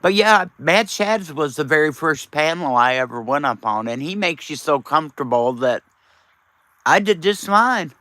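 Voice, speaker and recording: A man's voice: fairly high pitch, lively intonation, moderate pace, pushed and bright, no echo. A man, chatting casually and teasingly with viewers on his live stream. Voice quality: raspy.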